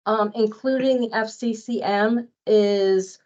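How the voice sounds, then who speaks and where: A woman's voice: fairly high pitch, fairly even intonation, takes it slowly, a little mellow. Woman presenter, dull lo-fi audio. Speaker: presenter on billing and invoicing at a staff training. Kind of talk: informal spoken training explanation.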